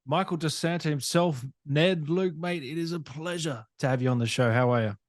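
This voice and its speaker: Higher-pitched Australian man hosting. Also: normal speed. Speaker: host, interviewer. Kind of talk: casual interview chat.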